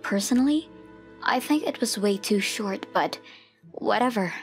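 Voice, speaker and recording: A woman's voice: medium pitch, measured pace, lightly acted. A woman playing an expectant mother who narrates her own past in a scripted comic dub, over music. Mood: recounting, troubled.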